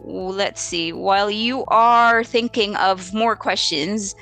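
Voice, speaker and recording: Bright-voiced, slow-paced Filipino woman teaching. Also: medium pitch, faint music behind. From Philippines. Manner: halting, thinking aloud, searching for words. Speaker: English teacher hosting a live Q&A. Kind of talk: informal live teaching advice.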